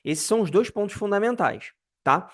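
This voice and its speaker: A man's voice: expressive, normal speed. A man in his early thirties from Brazil talking straight to camera about personal finance, candid and self-deprecating, often stopping to search for words. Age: 30s. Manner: pitch rises and falls widely for emphasis. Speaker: personal finance video host. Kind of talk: casual monologue to camera.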